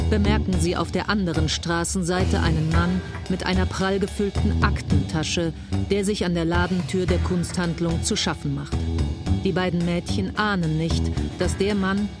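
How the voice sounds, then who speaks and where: An actress as a sober crime-case narrator. The woman has a fairly low voice and a suspenseful delivery, with loud music underneath. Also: natural voice. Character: matter-of-fact female crime report narrator.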